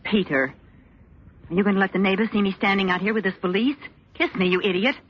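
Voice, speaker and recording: An actress playing a ruthless, ambitious politician's wife, curt and commanding, in 1940s radio drama style. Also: female voice, medium pitch, fairly even intonation, fast talker, slightly rough, natural voice. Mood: brisk, scornful. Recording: dull vintage radio recording, faint hiss.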